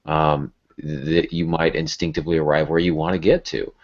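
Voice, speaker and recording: Very deep-voiced man hosting. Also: flat delivery, moderate pace, darkish tone. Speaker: podcast host. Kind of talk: casual, reflective podcast discussion. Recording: dull, lo-fi podcast audio.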